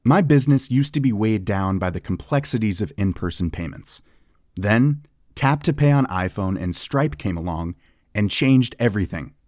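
A man reading a scripted testimonial ad in a smooth, even delivery. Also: mid-range voice, no echo, studio quality. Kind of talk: scripted ad read.

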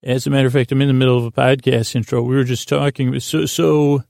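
Creaky-voiced man rambling haltingly. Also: medium pitch, little pitch movement, darkish tone, dry recording, studio quality. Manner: halting, digressive, with long pauses and repeated words; silly, absent-minded. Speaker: podcast host. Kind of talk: rambling whimsical monologue meant to distract listeners.

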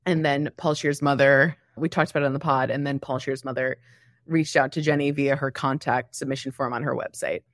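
A woman's voice: a bass voice, steady tone, brisk, no echo, studio quality. A woman co-hosting a podcast in casual comedic chat, wry, teasing and self-deprecating. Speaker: podcast co-host.

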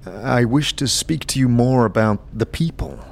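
A man's voice: mid-range voice, lively intonation, moderate pace, gravelly. A man playing an amnesiac wanderer in a fantasy drama, earnest and hesitant as he seeks understanding. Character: uncertain amnesiac male wanderer seeking understanding.

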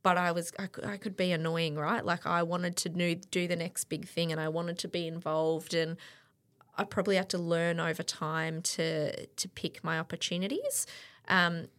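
A woman's voice: fairly low pitch, steady tone, moderate pace, fairly bright tone. A woman in her thirties with an Australian accent, speaking candidly and reflectively as a guest in a casual podcast interview. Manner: candid and reflective, with frequent fillers. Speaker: interview guest, sports event operations director.

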